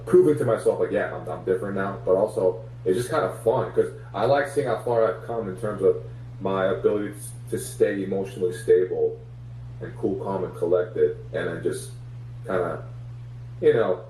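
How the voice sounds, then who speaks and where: A man with a low, mellow voice, a comedian guest talking through his stage experiences in an easy, reflective way. He is recorded from a distance in a roomy space with a faint hum underneath. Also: normal speed. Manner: reflective, self-analytical, many fillers. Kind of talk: casual interview chat. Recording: echoey room, distant mic, faint hum.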